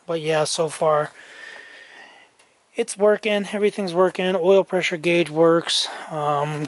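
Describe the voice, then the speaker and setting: Slow, mumbling man tinkering in a yard. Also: a little high. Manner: slow, rambling, thinking aloud with frequent ums and soft muttering. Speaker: vlogger. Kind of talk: casual hands-on narration while testing a tractor's wiring. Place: outdoor yard and garage workshop.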